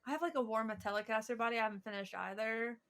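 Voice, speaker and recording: A woman co-hosting a podcast in casual chat, teasing her co-host and talking quickly. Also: fairly high pitch, fairly bright tone.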